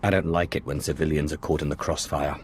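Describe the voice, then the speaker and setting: An actor playing a stern, measured Sith alliance commander in a video game, terse and authoritative. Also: male voice, extremely low pitch, fairly even intonation.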